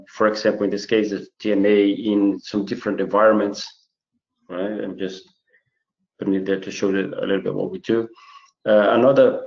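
A man's voice: on the low side, darkish tone. Brazilian man lecturing in a webinar. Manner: halting, many fillers and restarts. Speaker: chemistry researcher giving a webinar. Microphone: computer mic on a video call. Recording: roomy, muffled webinar audio.

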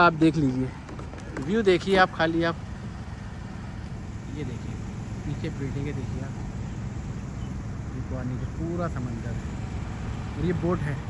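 A man vlogging outdoors at a harbour at night, chatty and upbeat, showing viewers around and asking questions. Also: on the high side. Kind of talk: walking vlog with street interviews. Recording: loud outdoor noise with generator hum.

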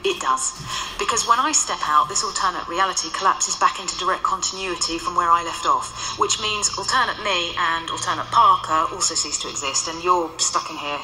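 A sardonic ghostly nun. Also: female voice, fairly low pitch, fairly quick, roomy sound, faint music behind, poor audio. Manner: dry, sardonic, explains calmly. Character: ghost of a centuries-old nun, dry and ruthless. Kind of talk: radio drama.

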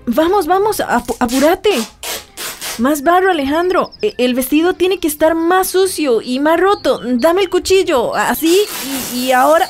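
Young Costa Rican woman, high voice, halting and breathless, headstrong, radio drama, faint music behind. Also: animated. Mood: urgent, insistent. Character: headstrong young woman, secretly in love, daring daughter. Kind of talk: radio soap opera acting.

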